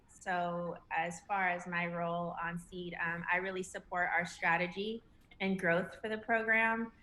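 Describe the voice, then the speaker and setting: A woman's voice: fairly low pitch, bright, forceful tone, a little hiss or hum, rough recording. A woman describing her strategy role on a panel, earnest and thoughtful. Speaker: program strategy lead. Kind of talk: casual panel chat.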